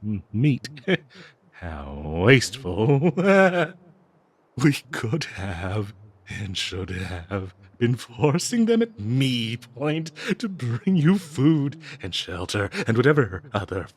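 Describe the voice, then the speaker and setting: Breathy, swooping, obsessive cursed dagger. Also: male voice, mid-range voice, very animated, no room sound, heavily acted. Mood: gleeful, doting. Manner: theatrical, halting, gushing, flowery endearments, breathy giggles. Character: obsessive cursed talking dagger, bloodthirsty, doting, giddy, fawning. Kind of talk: audio roleplay, theatrical yandere monologue.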